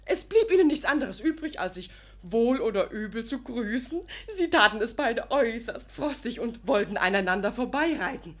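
Gossipy young maid, radio comedy, very high voice, super lively and teasing. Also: female voice, moderate pace. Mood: gleeful, excited. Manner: breathlessly eager, giggly, teasing. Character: cheeky, gossipy young maidservant, excitable. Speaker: actress in a radio play. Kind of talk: animated radio comedy storytelling.

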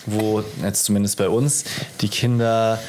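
A man's voice: lowish voice, level tone, a little slow, a little mellow. A tired man, likely in his thirties, chatting casually and rambling with self-deprecation as a podcast co-host. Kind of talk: casual banter podcast chat.

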